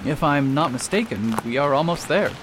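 A man's voice: a little high, expressive, normal speed, background noise, lightly acted. A man playing a confident detective doctor in an amateur radio drama, laying out precise deductions. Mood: self-assured. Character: confident middle-aged male detective doctor.

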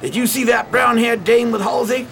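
Man acting high, very gravelly wisecracking gumshoe. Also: fairly even intonation, moderate pace, fairly bright tone, heavily acted. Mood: intrigued. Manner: slangy, hard-boiled. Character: wisecracking, cocky 1920s private investigator. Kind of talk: old-time radio drama acting.

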